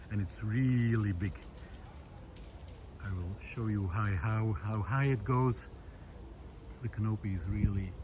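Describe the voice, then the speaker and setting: A man talking unscripted and reflectively to camera outdoors in a wooded park, pausing often mid-sentence between short phrases. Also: on the low side. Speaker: vlogger. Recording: clear outdoor background noise.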